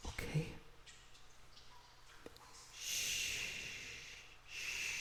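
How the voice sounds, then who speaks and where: Slow, whispery, gentle vampire played by a man. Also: mid-range voice. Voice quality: breathy, often dropping to a whisper. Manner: soothing, pleading, slightly formal phrasing. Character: lonesome, gentle, earnest male vampire prisoner. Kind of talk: ASMR audio roleplay. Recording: roomy echo on the voice.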